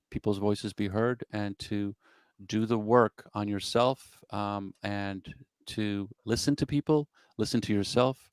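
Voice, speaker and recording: A man's voice: lowish voice, clear, resonant tone, no room sound. A middle-aged man hosting a panel talk on a video call with a desk mic. He speaks earnestly and reflectively. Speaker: host. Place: home room indoors.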